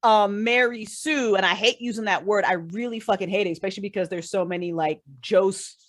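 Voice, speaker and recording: Woman podcast guest, mid pitched voice, chatty and animated, opinionated, swears a lot. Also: a little fast. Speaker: guest, writer and creator of an audio drama. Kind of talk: casual podcast chat.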